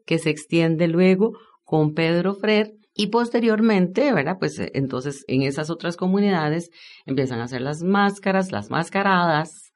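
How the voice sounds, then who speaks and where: A woman with a Costa Rican accent, a historian being interviewed, explaining informally and didactically with frequent tag questions. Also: deep voice. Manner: didactic, often checks understanding with tag questions.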